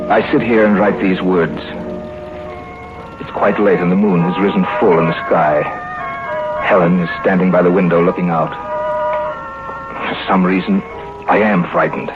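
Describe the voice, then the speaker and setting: An actor playing an anxious husband writing a journal of dread in a 1950s radio horror drama, grave and measured. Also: male voice, sits low, steady tone, over music. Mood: uneasy, grave. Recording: noisy muffled vintage radio recording.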